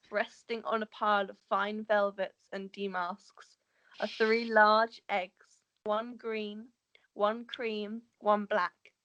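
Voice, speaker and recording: A woman's voice: mid-range voice, a bit bright, dull audio. A woman narrating the stage directions of a script in an amateur table read, sometimes stumbling over words.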